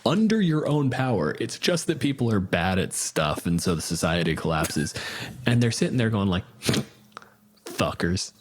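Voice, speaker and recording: Man, podcast co-host, casual chat, blunt, exasperated, lower voice. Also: very animated, normal speed. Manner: blunt, exasperated, swears for emphasis.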